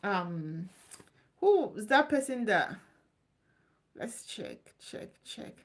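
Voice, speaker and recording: A woman's voice: mid-range voice, sing-song, extremely slow. A woman hosting a live Q&A stream, talking loosely and reassuringly, repeating herself as she answers viewers' questions. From Nigeria. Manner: chatty, loose and repetitive, reassuring. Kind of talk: casual live Q&A.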